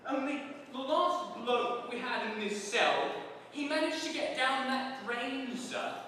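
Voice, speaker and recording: High, sing-song prison warder played by a man, echoey hall. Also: big pitch swings, slow pace, sharp tone, full character voice. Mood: cheerfully chatty. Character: cheerfully polite male prison warder, mocking and chatty. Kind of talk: dramatic reading of a comic novel. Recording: very echoey hall, distant mic, noisy.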